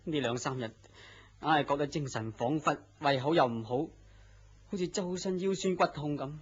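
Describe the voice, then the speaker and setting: A man's voice: fairly high pitch, normal speed, slightly rough. A man with a Hong Kong Cantonese accent playing a weary, melancholy, lovesick young man in a radio drama, earnest and plaintive. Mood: weary, despondent. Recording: dull lo-fi radio drama audio.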